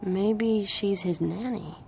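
A woman's voice: mid-range voice, unhurried, vocal fry, slight background noise. A woman voicing an earnest, plain-spoken young man in an audiobook story. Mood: musing. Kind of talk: audiobook character voice.

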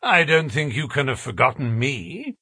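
A man voicing a genial, confident gentleman detective in an audiobook story. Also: medium pitch, big pitch swings, moderate pace, breathy edge, no echo, studio quality, full character voice. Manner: genial, assured.

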